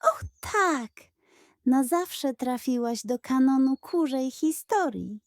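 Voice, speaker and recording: A woman voicing a fake chicken spirit in a children's fairy tale, mysterious, pompous and full of grand flattery. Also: high voice, slow pace, no room sound, studio quality, voice pushed up, full character voice. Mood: grandiose flattery.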